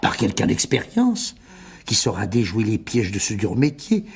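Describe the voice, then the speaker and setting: A wily middle-aged businessman in a radio mystery play. His voice is husky and creaky, with swooping, theatrical intonation. He is unctuous and condescending, with a cowardly streak. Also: fairly low pitch, wildly swooping pitch, normal speed, full character voice. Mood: unctuous, persuasive. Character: wily older businessman, cowardly then smugly blackmailing.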